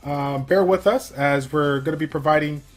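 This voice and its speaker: A man hosting a casual game livestream, reading viewer questions from chat and answering in a relaxed, filler-heavy way. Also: a little high, moderate pace. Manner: chatty, many fillers, reads viewer questions aloud. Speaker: stream host.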